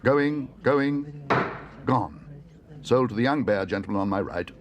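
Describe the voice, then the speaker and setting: A man voicing a loud, brisk male auctioneer who calls out bids in a raised, sing-song voice. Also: mid-range voice, expressive, pitched above the performer's own voice, natural voice. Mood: booming.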